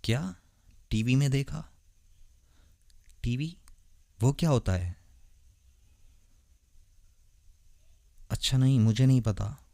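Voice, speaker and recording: A man's voice: medium pitch, animated, measured pace, creaky, mellow, no echo, studio quality. A man playing a naive, intense and protective young vampire boyfriend in a romantic ASMR audio drama, earnest and dramatic. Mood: baffled, suspicious. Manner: earnest, dramatic, puzzled questioning.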